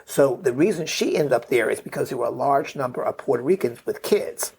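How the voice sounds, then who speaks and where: A man's voice: mid-range voice, lively intonation, brisk, creaky, a little mellow, a little hiss or hum. A middle-aged man, a podcast guest, talking through a family story in a loose, rambling way, tagging his points with "right?" and "you know.". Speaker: podcast guest, memoir author. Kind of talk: conversational interview storytelling.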